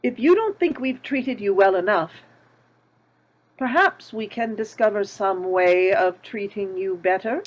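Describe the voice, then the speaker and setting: A woman's voice: medium pitch, unhurried, dull audio, lightly acted. Woman playing a shrewd old landlady. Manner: probing, haughty. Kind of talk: table read.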